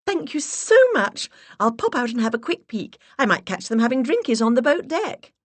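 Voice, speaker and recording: A woman's voice: big pitch swings, normal speed, no room sound. A woman putting on a gushing, giggly English socialite. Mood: gushing, coy. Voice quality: high, breathy, exaggerated accent. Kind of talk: audiobook character voice.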